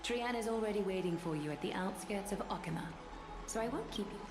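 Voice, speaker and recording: A woman playing a composed, courteous noblewoman leader in a video game, speaking in a formal, measured, soft-spoken way. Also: mid-range voice, reverberant, noisy background, natural voice. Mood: calm, instructive. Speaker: game voice actor. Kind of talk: scripted game dialogue. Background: game music.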